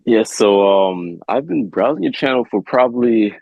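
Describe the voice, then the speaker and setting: A man with a very low voice hosts a relaxed online interview. He talks in an upbeat, chatty way with plenty of fillers, and the recording sounds dry and close. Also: moderate pace, gravelly, no echo, dull audio. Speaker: host. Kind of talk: casual interview chat.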